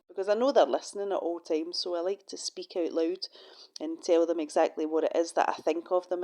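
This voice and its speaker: Lowish-voiced Scottish woman, swearing freely. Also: warm tone. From Scotland. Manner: profane, wry, talks in restarts and asides. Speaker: vlogger. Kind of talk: casual monologue to camera. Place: her room in a shared house.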